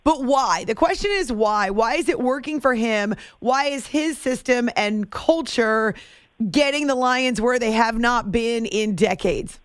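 A woman's voice: on the high side, a little slow, clean tone, dry recording, studio quality. A woman hosting a sports radio show and interviewing a guest.

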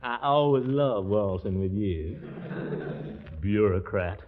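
Vain, blustering middle-aged official, 1940s radio sitcom, very animated delivery, dull old broadcast sound. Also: male voice, lowish voice, slow pace. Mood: sulky, jealous. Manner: blustery, sulky, fishing for attention. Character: pompous, jealous, vain middle-aged water commissioner and amateur singer. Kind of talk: 1940s radio comedy acting. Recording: dull vintage radio recording.

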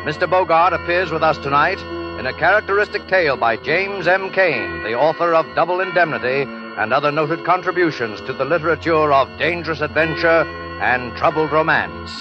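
A man's voice: on the high side, expressive, brisk. A man hosting a suspense show in formal, dramatic 1940s radio announcing style, reading a scripted introduction. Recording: dull vintage 1940s radio recording. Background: loud dramatic music.

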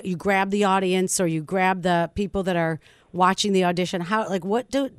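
A woman's voice: fairly low pitch, clean tone, no room sound. Chatty woman radio host, dry studio sound. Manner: chatty, enthusiastic. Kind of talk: morning show interview.

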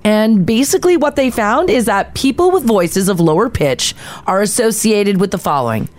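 A young adult woman with a lower-pitched voice, co-hosting a casual morning radio show and reading study findings in a conversational way. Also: expressive, moderate pace, darkish tone.

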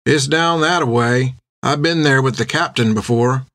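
A man's voice: mid-range voice, a little slow, a bit bright, no echo, clean studio sound. A man voicing a reluctant, simple young shipmate with folksy, hesitant talk in an audiobook. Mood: matter-of-fact. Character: reluctant, dim-seeming young male shipmate.

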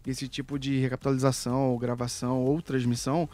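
Homem especulando em voz alta. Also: male voice, mid-range voice, little pitch movement, fast talker, mellow. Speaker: podcast co-host. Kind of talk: casual tech podcast chat.

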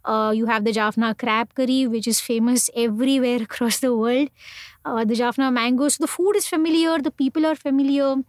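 Indian woman, young, talks about her trips all chatty with lots of um, kinda high voice, dry close sound. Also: steady tone, brisk, darkish tone, no room sound. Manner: chatty, many fillers and restarts. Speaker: interview guest, traveler. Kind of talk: casual conversational storytelling.

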